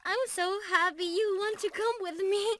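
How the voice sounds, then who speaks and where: High, sweet young mermaid, acted by a woman. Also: steady tone, moderate pace, slight background noise. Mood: overjoyed, tearful. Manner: affectionate, breathy sighs and giggles, emotional. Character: young female mermaid, sweet, adoring, emotional romantic partner. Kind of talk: ASMR romantic roleplay.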